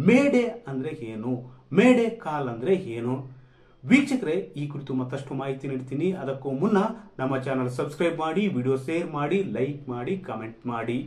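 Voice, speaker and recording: A male news presenter speaks in a fairly high voice. He explains things to viewers with emphasis, restating key phrases as he goes. The room around him adds a clear echo. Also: a little mellow. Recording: echoey, reverberant room.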